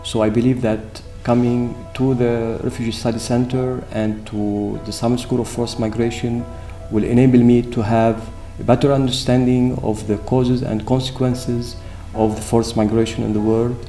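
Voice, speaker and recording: A man from Lebanon, a humanitarian field officer, speaking haltingly in a seated interview. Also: mid-range voice, little pitch movement, warm tone. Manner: halting, restarts words. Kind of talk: interview testimony. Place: indoor room with curtain backdrop. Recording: roomy sound with faint music.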